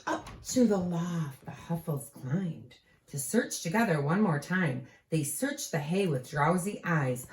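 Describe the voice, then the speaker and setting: A woman reading a children's storybook aloud with expressive delivery, pausing to prompt young listeners. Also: low voice, a little hiss or hum. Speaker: teacher. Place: room at home. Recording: echoey home room.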